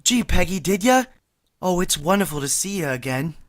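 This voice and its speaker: A woman's voice: fairly low pitch, expressive, normal speed, natural voice. A woman voicing an awkward, lovestruck young man in a vintage-style radio play, earnest and rambling. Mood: bashful. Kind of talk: 1940s radio acting.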